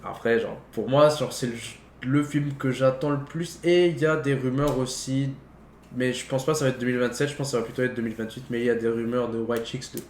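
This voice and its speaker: A man's voice: medium pitch, fairly even intonation, quick pace, a little mellow. A young man chatting casually about films, peppering his slangy talk words. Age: young adult. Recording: roomy echo, faint background noise.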